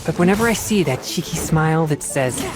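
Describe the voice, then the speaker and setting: A man voices a disciplined teenage exorcist boy from a fantasy video game. His young, soft-edged voice is formal and measured, with an earnest air that is easily flustered. Music plays clearly behind him. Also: a little high, expressive, natural voice. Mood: indignant. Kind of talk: scripted video game character dialogue.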